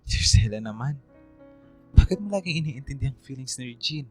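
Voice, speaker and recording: An actor playing a lovestruck two-timing young man in a radio drama, halting and earnest as he makes excuses. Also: medium pitch, expressive, a little mellow, faint music behind, lightly acted. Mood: pleading. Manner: halting, earnest, repeats words.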